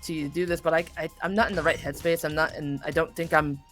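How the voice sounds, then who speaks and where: A woman voice actress chatting casually as an interview guest, self-critical and animated, acting out her inner thoughts as she goes. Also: deep voice, fast talker, faint music behind. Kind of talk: casual chat while playing a video game.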